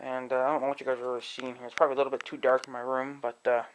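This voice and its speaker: A man giving a casual, rambling demonstration to viewers, halting and thinking aloud as he talks. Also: mid-range voice, level tone, slight background noise, rough recording. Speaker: amateur video creator demonstrating an app. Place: indoors in own room at home.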